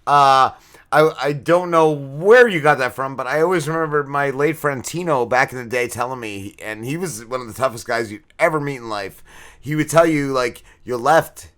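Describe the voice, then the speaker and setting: A man with a medium-pitched voice hosts a casual chat. His manner is excitable and slangy, with some swearing. He sounds like he is talking among friends. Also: moderate pace. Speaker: podcast host.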